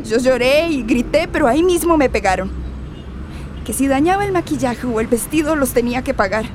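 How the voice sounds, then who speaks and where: A woman playing a naive, earnest teenage girl in a radio drama, expressive and with a Central American accent. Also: high voice, a little fast, noisy background. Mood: distraught.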